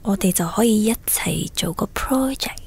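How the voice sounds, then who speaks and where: An actress in her twenties playing an earnest, apologetic, lovestruck young woman in a radio drama, chatty and expressive. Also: medium pitch, moderate pace, vocal fry, slightly rough. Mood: musing. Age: 20s. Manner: chatty, self-chiding, expressive. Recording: background music under studio drama dialogue.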